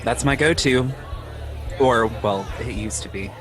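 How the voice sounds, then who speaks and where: A man playing an anxious, defensive man who confides haltingly and self-consciously in a naturalistic audio drama. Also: medium pitch, a crowd in the background, close to an everyday voice. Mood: wary. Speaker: podcast host playing himself in audio drama.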